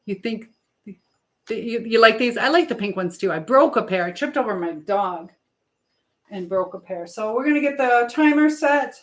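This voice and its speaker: Higher-voiced woman livestreaming in an echoey room. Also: lively intonation, moderate pace. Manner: rambling, reading out and answering viewer comments. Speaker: livestream host. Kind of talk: casual live-chat talk. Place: indoors at home, in an echoey room.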